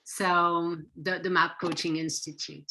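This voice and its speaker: Interview guest: middle-aged woman, French accent, bright and earnest. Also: lowish voice, flat delivery, a little slow, slight background noise, low-quality recording. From France. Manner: earnest, emphatic, explains with conviction. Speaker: psychotherapist guest. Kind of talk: interview answers.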